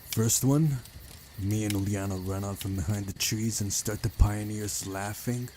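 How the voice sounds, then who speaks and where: A man's voice: fairly low pitch, dark tone, a little hiss or hum. A man reading a visual novel's first-person story aloud as the narrator of a playthrough. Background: music.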